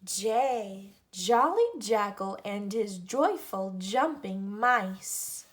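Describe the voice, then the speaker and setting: A woman reading a picture book aloud to children and teaching the alphabet, with deliberate pauses between phrases. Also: mid-range voice. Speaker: read-aloud storyteller for children.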